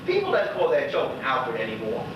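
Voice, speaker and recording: An actor playing a lonely, chatty old widower in a rambling stage monologue in Bermudian dialect. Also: male voice, mid-range voice, wildly swooping pitch, a little fast. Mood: chatty, reminiscing. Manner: rambling, conversational, muttered asides to himself and others. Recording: muffled, echoey distant stage recording with noise.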